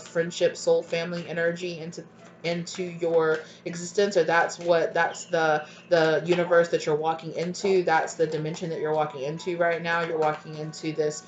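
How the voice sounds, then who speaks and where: A woman with a stuffy, congested voice giving a channeled tarot reading. She rambles and keeps checking in with "okay?" and "right?". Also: lowish voice. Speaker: tarot reader. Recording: dull lo-fi home recording, faint noise. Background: dogs barking at times.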